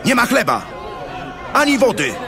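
High-pitched, bitter desert complainer, male. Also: huge pitch swings, moderate pace, a crowd in the background, full character voice. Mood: angry complaint. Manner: accusing, agitated. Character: bitter, impatient Israelite man complaining in the desert. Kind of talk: biblical radio drama acting.

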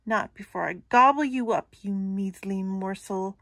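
A woman's voice: measured pace, fairly bright tone, dry recording, clean studio sound. A woman voicing a hungry, bellowing male troll who lurks under a bridge. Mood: greedy menace. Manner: booming, greedy, threatening.

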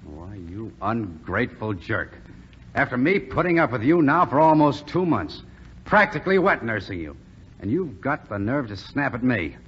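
A man's voice: mid-range voice, lively intonation, normal speed, slightly rough. An actor playing a blunt, slangy young cadet who scolds his buddy in a 1940s radio drama. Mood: exasperated. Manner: slangy, exasperated. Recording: dull 1940s radio recording, faint noise.

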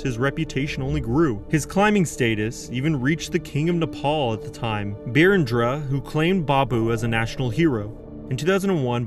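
Male narrator, mid-pitched, earnest dramatic read, clear music bed behind. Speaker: documentary narrator. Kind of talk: scripted narration.

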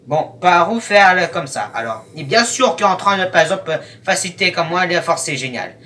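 A young man giving casual reaction commentary in a halting, rambling, disjointed way. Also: sits high, expressive, bright, forceful tone. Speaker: YouTube host. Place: small room at home, indoors. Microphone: webcam at arm's length or more. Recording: noisy webcam, distant from the mic.

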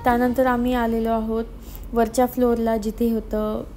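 Female vlogger, higher register, flat intonation, explaining things in a casual narration. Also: moderate pace. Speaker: family vlogger. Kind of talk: casual voice-over narration of a mall visit. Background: music.

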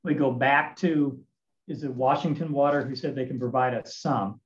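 A man's voice: medium pitch, steady tone, normal speed. A man in a committee meeting, speaking in a decisive, methodical way. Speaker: committee member. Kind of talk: meeting discussion. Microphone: video call. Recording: dull video call audio.

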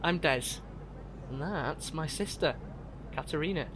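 A man's voice: fairly high pitch, measured pace, a bit bright. A man playing a frank, anxious young gambler on the run in an audio drama. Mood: relieved. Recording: clear background noise.

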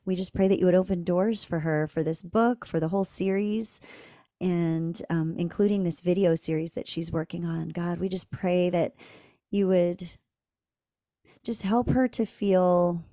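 Soft-spoken woman podcast host praying. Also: fairly low pitch, fairly even intonation, unhurried, no echo, clean studio sound. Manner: gentle, earnest, many soft fillers. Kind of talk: spontaneous spoken prayer.

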